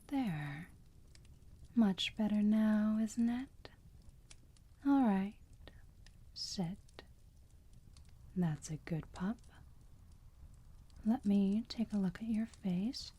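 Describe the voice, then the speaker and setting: A woman acts out a doting female vampire who whispers in an ASMR roleplay. Her voice is very breathy and extremely dark and soft, and she takes her time with every phrase, cooing with motherly, protective tenderness. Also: mid-range voice. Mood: tender, curious. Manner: soft, slow, cooing, soothing.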